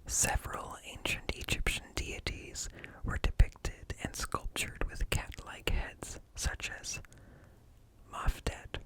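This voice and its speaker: Slow, whispering man. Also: low-quality recording. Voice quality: breathy, unvoiced whisper. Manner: slow, deliberate, hushed, broken into short phrases. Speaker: ASMR host. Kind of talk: whispered ASMR reading of encyclopedia articles. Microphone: close ear-to-ear microphone.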